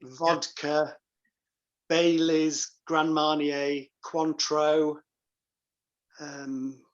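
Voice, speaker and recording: A man's voice: a little high, little pitch movement, extremely slow, lo-fi sound. A man speaking as an interview guest, telling anecdotes in casual chat. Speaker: guest, pantomime dame and director.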